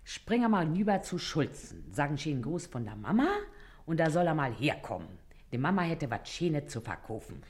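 A woman's voice: deep voice, very animated, normal speed, darkish tone. An actress playing a shrewd, brazen middle-aged working-class mother in a radio play, with an earthy, canny manner and a Berlin accent. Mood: brisk. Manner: earthy, canny, feigning indifference. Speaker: actor. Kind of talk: German radio play acting in dialect.

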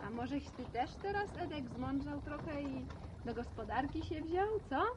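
An actress playing a lively, meddling woman visiting village relatives in a radio drama, chatty and inquisitive. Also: high voice, moderate pace, noisy background.

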